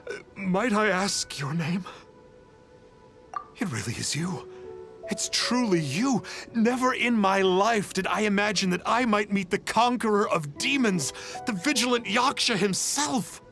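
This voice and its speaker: A man's voice: high-pitched, expressive, a little slow, vocal fry, a bit bright, soft background music, heavily acted. A man voicing a reverent, earnest reformed trickster who idolizes a guardian, in a video game dub. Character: penitent adult man, reformed fake adeptus and superfan.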